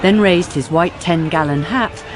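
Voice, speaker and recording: A woman giving deliberate, scripted narration for a radio drama. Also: fairly low pitch.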